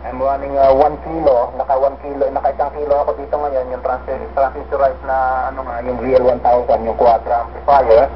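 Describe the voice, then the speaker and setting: A man's voice: medium pitch, little pitch movement, fairly quick, few pauses. A man with a Filipino accent chatting casually as an amateur radio operator, mixing in English and radio jargon. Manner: code-switches into English, uses radio jargon. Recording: dull, very noisy amateur radio signal.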